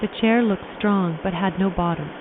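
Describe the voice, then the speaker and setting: A woman's voice: mid-range voice, normal speed. A woman reading short test sentences in a noisy, narrow-band recording. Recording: phone-like band, loud background noise.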